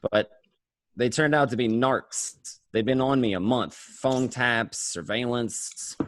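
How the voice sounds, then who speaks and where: A man's voice: mid-range voice, takes it slowly, fairly bright tone, dry recording. An actor playing a hot-headed, anxious gangster in a radio play, telling his story in profane, stammering bursts. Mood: resigned recounting. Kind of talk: first-person crime narration and dialogue, radio play.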